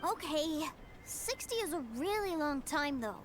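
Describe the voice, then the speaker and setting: A woman voicing an innocent, excitable little boy in a video game, childlike, curious and eager. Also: high-pitched, a little slow, noisy background. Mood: wide-eyed. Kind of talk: scripted video game voice acting.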